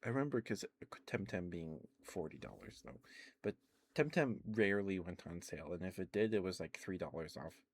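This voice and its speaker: A man streaming ASMR, chatting softly and rambling with frequent fillers and little laughs. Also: sits low, normal speed. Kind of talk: casual rambling chat.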